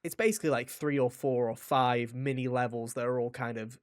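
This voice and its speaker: A man's voice: medium pitch, a little fast, clear, resonant tone, no room sound, studio quality. A man co-hosting a video game podcast, chatting in an informal, rambling and jokey way. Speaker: podcast co-host reviewing video games. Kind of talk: casual chat.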